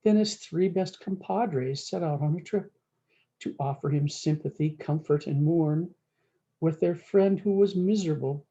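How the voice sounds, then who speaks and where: A woman's voice: sits low, warm tone, lo-fi sound. A woman storyteller reading a rhyming cowboy-dialect storybook aloud in a folksy way, drawing out words for emphasis. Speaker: storyteller reading a picture book.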